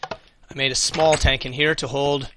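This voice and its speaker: Bright-voiced man losing his voice. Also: medium pitch, flat delivery, measured pace, a little hiss or hum. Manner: thinks aloud, mutters, swears casually. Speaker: let's play host. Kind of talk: casual gameplay commentary.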